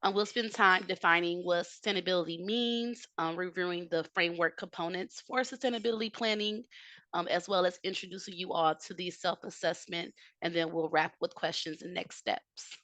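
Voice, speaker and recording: A woman giving a webinar talk over a video call, a little hoarse from a cold. Her voice is bright and slightly lower-pitched, and the recording is close and dry. She explains at a steady pace, with many "um" fillers. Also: moderate pace, no echo. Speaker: technical assistance presenter. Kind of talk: webinar presentation.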